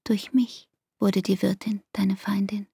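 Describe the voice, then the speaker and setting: An actress playing an anguished, devoted young barmaid in an intimate radio play, with speech and a breathy, half-whispered, intense delivery. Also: female voice, mid-range voice, flat delivery, a little slow, warm tone, dry recording, studio quality. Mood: tender, anguished.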